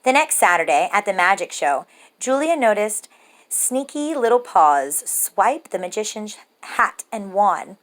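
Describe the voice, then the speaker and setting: A woman storyteller reading a children's picture book aloud with measured, rhythmic, expressive phrasing for young listeners. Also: medium pitch.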